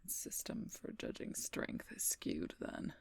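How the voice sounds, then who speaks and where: A woman playing a wounded, dutiful werewolf knight in an intimate audio roleplay, halting and weary, in a breathy, husky, gravelly whisper. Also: no room sound, close to an everyday voice. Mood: earnest, wry. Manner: halting, weary, pained delivery. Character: wounded, dutiful female werewolf knight, wryly self-sacrificing protector.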